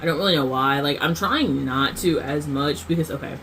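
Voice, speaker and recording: A woman's voice: low-pitched, moderate pace, a bit bright, a little hiss or hum. A woman vlogger rambling through a casual monologue, full of fillers and self-corrections.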